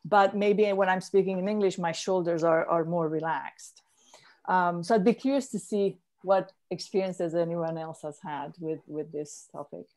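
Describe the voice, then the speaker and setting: A woman from Spain speaks on a home video call as a panellist. Her voice sits on the low side, and she talks her way through ideas with fillers and restarts. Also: fairly even intonation, normal speed, a little mellow. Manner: discursive, many fillers and restarts. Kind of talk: online panel discussion. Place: home room.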